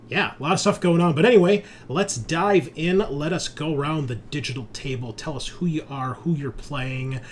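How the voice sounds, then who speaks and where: American man hosting, noisy background. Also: mid-range voice. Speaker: host and game master. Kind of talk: casual chat introducing a tabletop game stream.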